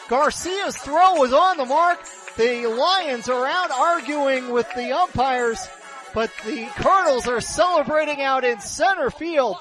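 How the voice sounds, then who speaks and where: A man doing live radio play-by-play commentary as a baseball announcer. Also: very high-pitched.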